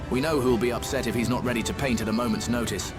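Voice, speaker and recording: A man's voice: medium pitch, fast, over music, lightly acted. A man playing a dry, grumbling, easily flustered noble husband in a video game cutscene.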